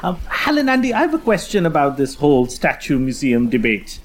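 A man's voice: a little high, wildly swooping pitch, a little fast, a little hiss or hum. A man from India chatting as a comedy panellist in a conversational, mock-earnest way. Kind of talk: satirical comedy chat.

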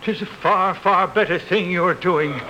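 A man voicing a tearful romantic young woman in a radio parody, pitching his voice up high. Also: measured pace, sharp tone, lo-fi sound, a little hiss or hum. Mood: tender. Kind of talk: old-time radio parody.

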